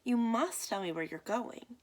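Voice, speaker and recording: A woman voicing a caring mother who gently scolds her child in a children's picture book read-aloud. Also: fairly high pitch, animated, a little slow, voice pushed up. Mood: gently scolding.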